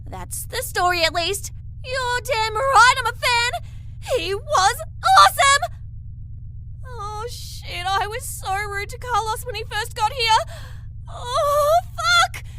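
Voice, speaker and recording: A woman playing an excitable, brash young fighter in a sci-fi audio drama, gushing and slangy like a starstruck fan. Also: extremely high pitch, takes it slowly, background noise, exaggerated voice. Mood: gushing, embarrassed. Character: excitable, foul-mouthed young female fighter, starstruck fangirl.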